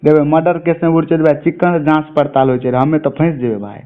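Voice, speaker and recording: A man's voice: a little high, fairly even intonation, fast talker. Tinny phone-line Bihari man, coarse-mouthed. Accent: Bihari (Mithila). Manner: coarse, swearing often. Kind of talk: informal phone conversation. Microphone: telephone. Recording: tinny narrowband phone call recording.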